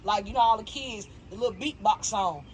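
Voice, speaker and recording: A woman in her thirties with a Southern US accent hosts a loose sit-down chat. She talks in an animated, slangy way and makes strong opinions plain. A light hiss of background noise sits under her voice. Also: mid-range voice, brisk. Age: 30s. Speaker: interview host. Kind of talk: casual interview chat.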